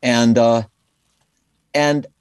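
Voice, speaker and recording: A middle-aged man with a mid-pitched voice gives a reflective interview in a dry sound. He talks in a loose, enthusiastic ramble, circling back often with conversational asides. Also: fairly even intonation, extremely slow, clear, resonant tone, no echo. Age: middle-aged or older. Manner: rambling, enthusiastic, frequent "you know" and "like". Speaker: musician being interviewed. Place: calling in remotely to a podcast.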